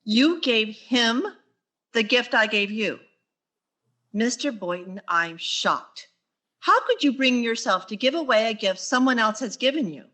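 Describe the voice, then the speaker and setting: A woman playing a wry, flustered English teacher in an old-time radio sitcom, theatrical and quick with wisecracks. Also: a little high, lively intonation, fairly bright tone. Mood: indignant. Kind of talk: 1940s radio sitcom acting on stage.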